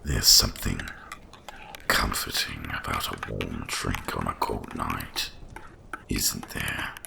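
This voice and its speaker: A man voicing an ancient, gentle winter dragon in an ASMR roleplay, hushed and soothing, with a deep gravelly half-whisper and deliberate pauses. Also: fairly even intonation, full character voice. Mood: tender, soothing.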